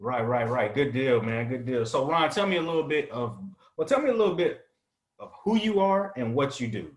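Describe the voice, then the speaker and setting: A man's voice: mid-range voice, animated, a little fast. A man in his thirties hosting a podcast interview in an easygoing way, often answering with short agreements. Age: 30s. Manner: easygoing, affirming with repeated "right" and "good deal". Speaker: podcast host. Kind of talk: casual interview. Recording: echoey room.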